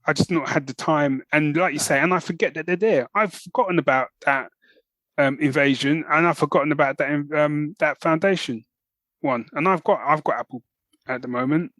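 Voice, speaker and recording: A man's voice: fairly high pitch, a little fast, a bit bright, no echo. A man co-hosting a podcast in casual conversation, thinking aloud as he goes in a slightly hesitant way. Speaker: podcast co-host. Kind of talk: casual chat.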